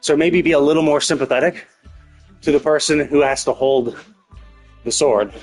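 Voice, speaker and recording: A man's voice: a little high, normal speed, faint music behind. A man giving casual, speculative game commentary as a live streamer, thinking aloud and often rephrasing himself.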